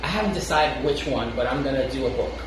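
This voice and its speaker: A man's voice: mid-range voice, fast, far from the mic. A man with a Brooklyn accent co-hosting a chat show, rambling casually with chuckles and restarts. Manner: rambling, restarts often, chuckles between thoughts. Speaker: podcast co-host. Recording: very echoey room, off-mic, noisy.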